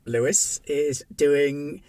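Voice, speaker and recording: A man's voice: a little high, extremely slow, mellow, no echo. A man hosting the wrap-up of a tabletop game stream, joking about next week's games in a playful, bouncy way. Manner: playful, jokey, bouncy delivery. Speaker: game master and channel host. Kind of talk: casual show wrap-up chat.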